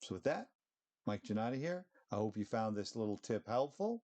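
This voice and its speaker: A middle-aged man giving a casual, conversational software demo, talking through each step with easy fillers. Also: lowish voice, moderate pace. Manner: relaxed, conversational, fillers like "you know" and "um". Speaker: presenter of short tech tips.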